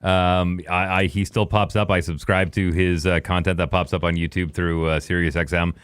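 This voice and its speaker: A middle-aged man with a fairly low voice co-hosts a call-in show. He trades banter and hands out advice in a blunt, matter-of-fact way, with the ease of a radio announcer. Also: little pitch movement, moderate pace, fairly bright tone, clear, resonant tone. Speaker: podcast co-host and radio announcer.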